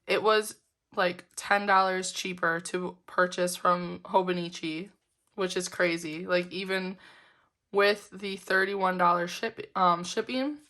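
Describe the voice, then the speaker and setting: A woman's voice: fairly low pitch, fairly even intonation, slow, pushed and bright. A woman vlogger chatting casually through an unboxing, her voice a little off from being sick, upbeat and giggly with lots of fillers. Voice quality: slightly weird-sounding sick voice. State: voice roughened from a lingering illness. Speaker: planner vlogger.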